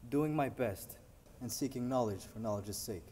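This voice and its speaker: A teenage male cadet from Rwanda giving a measured, formal speech on an auditorium stage. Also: mid-range voice, darkish tone, slight background noise. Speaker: military academy cadet. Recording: echoey hall, distant mic.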